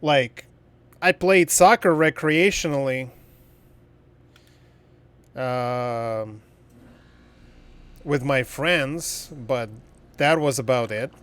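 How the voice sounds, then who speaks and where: A man's voice: medium pitch, very slow, long pauses, fairly bright tone. A man livestreaming a game and chatting casually, in a blunt, joking and profane way. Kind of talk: casual livestream chat while gaming.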